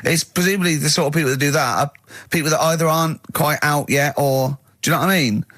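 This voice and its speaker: Radio host, man, slightly high voice, teasing, dry close studio sound. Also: fast talker, fairly bright tone, dry recording. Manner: teasing, jokey asides. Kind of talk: casual radio chat.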